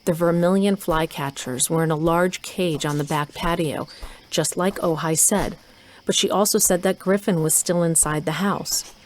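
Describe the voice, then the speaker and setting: A woman's voice: on the low side, steady tone, normal speed, slight background noise, lightly acted. A woman playing a resolute, stealthy mother and doctor who narrates a scripted audio drama. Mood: measured suspense. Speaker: narrator and lead actor.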